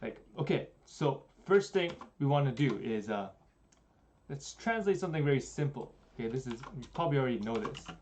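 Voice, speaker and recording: A young man talks casually into a desk mic in a small room, teaching from slides with plenty of fillers. His pitch sits in the middle of the range. Faint keyboard clicks sound in the background. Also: a little hiss or hum. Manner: relaxed, chatty, many fillers, slows down for examples. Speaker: Korean language teacher. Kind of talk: casual livestream lesson.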